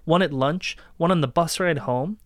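A man acting a vengeful, obsessive young captor in a dark dramatic podcast reading, speaking in an accusing, deliberate way. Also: on the high side, no room sound, clean studio sound, natural voice.

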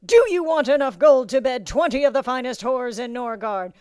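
A woman's voice: on the high side, takes it slowly, a little mellow, clean tone, no echo, clean studio sound, voice pushed up. A woman voicing a scornful, commanding male drill instructor in an audiobook, barking and taunting. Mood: bellowing contempt. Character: bellowing small thirty-year-old male drill instructor, scornful and commanding. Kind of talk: audiobook character acting.

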